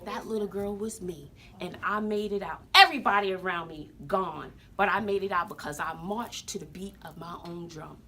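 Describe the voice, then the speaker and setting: A woman's voice: fairly low pitch, moderate pace, few pauses, pushed and bright, breathy edge, heavily acted. An American woman playing a sassy, folksy, wrinkled old woman who tells stories to students in an emphatic, theatrical way, repeating herself for drama. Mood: emphatic, resolute. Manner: emphatic, dramatic, repeats phrases, addresses listeners as sugar. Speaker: teacher telling her life story to students. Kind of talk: theatrical classroom storytelling. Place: classroom with students present.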